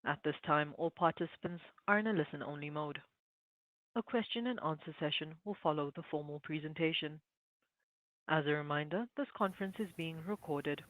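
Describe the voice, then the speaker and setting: A man's voice: fairly high pitch, fairly quick, fairly bright tone, rough recording. A man working as a conference call operator, reading a scripted formal announcement.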